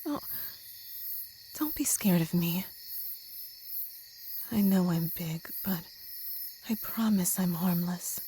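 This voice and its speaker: A woman playing a kind, protective traveller in a gentle ASMR comfort roleplay, speaking softly with some whispering and reassuring slowly. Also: fairly low pitch, slight background noise. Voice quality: soft, partly whispered. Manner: gentle, slow, soothing, reassuring.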